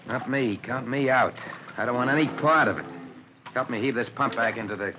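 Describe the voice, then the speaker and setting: A man's voice: medium pitch, fairly quick, lightly acted. An actor playing a tough, wary American fireman with slangy working-class talk in an old radio drama. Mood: wary, firm. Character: tough, wary ex-carnival fireman, gruff older workmate. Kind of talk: 1940s-50s radio drama acting. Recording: muffled, noisy old radio recording.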